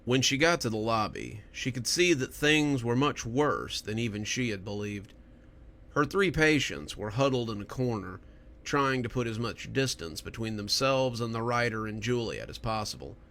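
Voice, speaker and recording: A man hosts and narrates spooky stories. His voice is mid-pitched with lively, wide-swinging intonation, made for storytelling. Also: moderate pace. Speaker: horror story narrator and channel host. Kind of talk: scripted horror storytelling narration.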